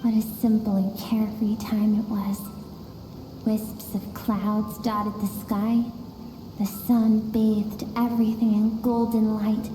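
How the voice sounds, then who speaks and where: An actress playing a gentle, wistful female storyteller in a video game, narrating softly with echoing reverb. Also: medium pitch, level tone, slow, slight background noise, lightly acted. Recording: echoing, long reverb over music.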